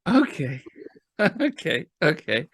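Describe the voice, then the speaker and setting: A man hosting a casual video-call interview, eager and halting, with lots of restarts and fillers. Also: a little high, huge pitch swings, fairly bright tone, breathy edge, dry recording. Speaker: interview host.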